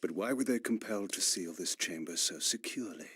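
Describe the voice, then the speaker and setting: An actor playing a proud, brooding ancient vampire in a video game, speaking in formal, theatrical, measured diction. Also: male voice, low-pitched, a bit bright, slightly rough, no room sound. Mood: brooding, ominous. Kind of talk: dramatic scripted narration and dialogue for a video game.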